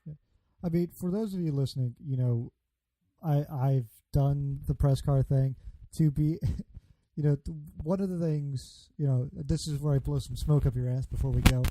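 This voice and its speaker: Host, male, deep dark tone, laid-back rambling delivery. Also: moderate pace, no room sound, low-quality recording. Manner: relaxed, rambling with fillers. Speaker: podcast host. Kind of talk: casual interview chat.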